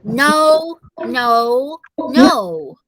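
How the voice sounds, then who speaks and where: A woman plays a stubborn little boy in a storybook tantrum. The voice is pushed high and swings widely in pitch, hollering with defiance. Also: expressive, drawn-out words, fairly bright tone, exaggerated voice. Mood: defiant tantrum. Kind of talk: children's story read-aloud.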